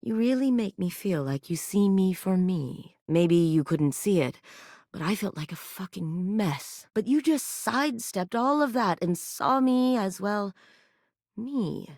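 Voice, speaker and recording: An actress voicing a sultry, theatrical sentient sex toy in a video game, teasing and dramatic. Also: female voice, mid-range voice, animated, unhurried, darkish tone, dry recording. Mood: vulnerable. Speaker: game character. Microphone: close studio mic. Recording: dry studio voice recording.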